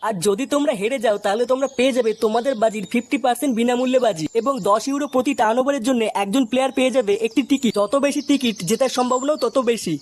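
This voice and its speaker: Male ad narrator, high voice, fast scripted delivery. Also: darkish tone. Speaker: advertising narrator.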